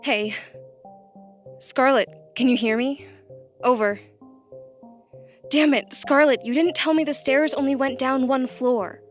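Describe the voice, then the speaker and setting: An actress playing a bewildered, reluctant new office worker in an audio drama, hesitant and a little indignant. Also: female voice, sits high, takes it slowly, pauses often, faint music behind. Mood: worried, indignant. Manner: hesitant, flustered, wryly indignant. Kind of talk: naturalistic audio drama acting.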